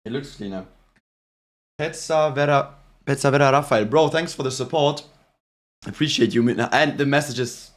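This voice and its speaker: A man's voice: mid-range voice, normal speed, pauses often. A man with a German accent hosting a live football reaction stream, chatting casually and reading out viewer messages with many restarts. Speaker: livestream host reacting to a match.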